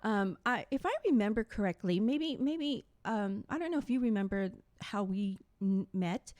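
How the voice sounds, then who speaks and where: A woman's voice: mid-range voice, lively intonation, normal speed, a little mellow, no echo. A woman hosting a casual live-stream interview, effusive and informal as she speaks directly to her viewers. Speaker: talk show host.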